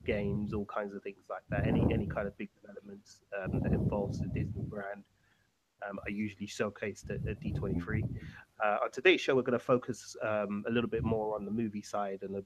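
A man's voice: fairly low pitch, low-quality recording. A man hosting a podcast, talking casually with a head cold and plenty of fillers. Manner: easygoing, many fillers. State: has a cold. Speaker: podcast host.

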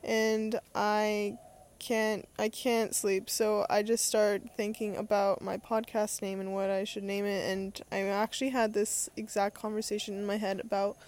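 A woman's voice: mid-range voice, level tone, measured pace, darkish tone. A young adult woman talking in a casual, unscripted monologue as a podcast host, rambling and hesitant as she thinks aloud.

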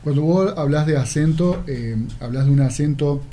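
A man's voice: on the high side, moderate pace, mellow, slight background noise. A man interviewing a guest, mostly making brief listening murmurs and asking short questions. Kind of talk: casual interview conversation.

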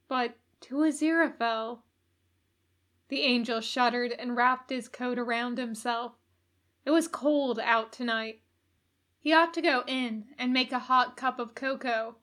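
A woman reading a story aloud as expressive scripted narration. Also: high-pitched. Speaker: audiobook reader.

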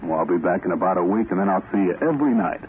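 Dutiful army lieutenant, tinny radio. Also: male voice, low-pitched, animated, racing. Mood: apologetic, affable. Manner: crisp, respectful, earnest. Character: dutiful, polite male army lieutenant and courier. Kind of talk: 1940s radio acting. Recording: tinny, hissy vintage radio recording.

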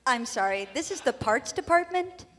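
Woman playing a perky, high-voiced, unhelpful receptionist. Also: expressive, moderate pace, a bit bright. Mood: vague, unhelpful. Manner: sing-song customer-service cheer, vague. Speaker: dealership parts counter clerk. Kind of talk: live audio comedy acting. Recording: live stage with faint noise.

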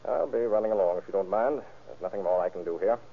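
An actor playing a calm, matter-of-fact male doctor in an old-time radio crime drama, with a practical bedside manner. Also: deep voice, fast talker, gravelly, breathy edge, full character voice. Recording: muffled, band-limited vintage radio recording.

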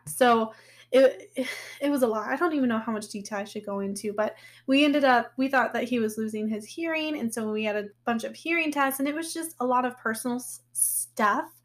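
A woman in her thirties hosting her own podcast, talking candidly and casually with a slightly hoarse voice, often laughing and using fillers. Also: fairly high pitch, a bit bright. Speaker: podcast host. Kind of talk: casual personal podcast talk.

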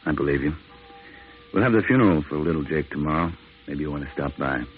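A calm, sombre priest with a very low voice and brisk, plain delivery. It is old radio drama, muffled, with faint music behind. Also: male voice. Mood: solemn. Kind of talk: 1950s radio drama acting.

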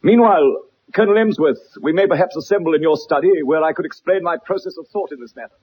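An actor playing a self-assured, eloquent gentleman detective in a radio drama, with a formal, lecturing manner. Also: fairly high pitch, fast talker, breathy edge, lo-fi sound. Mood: assured, explanatory.

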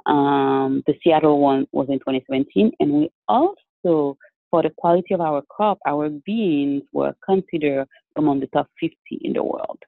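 A man from Haiti, answering interview questions in a measured, explanatory way with frequent restarts. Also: a little high, expressive, gravelly, dry recording. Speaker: guest entrepreneur. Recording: dry, tinny phone-like line.

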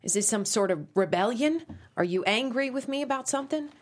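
An actress reading a pushy conservative talk radio host mother at a script table read, brisk and scolding. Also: a little high, expressive, a little hiss or hum, lightly acted. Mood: prim.

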